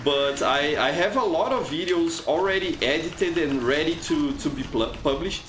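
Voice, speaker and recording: Brazilian man, Portuguese native, game streamer; chatty, stumbles and repeats words; a bit high-pitched; roomy sound, faint music. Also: lively intonation, normal speed, a bit bright, a little hiss or hum. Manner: chatty, frequent restarts and repeated words, reads chat aloud. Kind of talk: casual live gameplay commentary.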